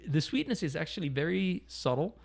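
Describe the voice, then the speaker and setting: A man with an Italian accent, English not his first language, co-hosting a casual beer tasting, talking haltingly with many fillers and restarts. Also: a little high, animated, creaky. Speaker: beer reviewer, co-host.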